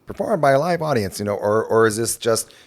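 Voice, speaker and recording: A man with a somewhat low voice co-hosts a podcast. He speaks casually and conversationally, as someone chatting with a guest. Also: expressive, fairly quick. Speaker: podcast co-host. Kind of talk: casual chat.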